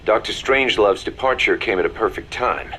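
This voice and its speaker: An actor playing a pragmatic, hard-edged second-in-command of a mercenary army in a video game drama, businesslike and sardonic. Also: male voice, lowish voice, brisk, bright, forceful tone, a little hiss or hum. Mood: matter-of-fact, wry.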